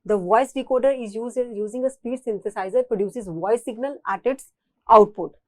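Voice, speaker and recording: A woman's voice: fairly high pitch, moderate pace, no room sound. A woman with an Indian accent giving a technical engineering lecture, explaining step by step and often repeating and rephrasing her phrases.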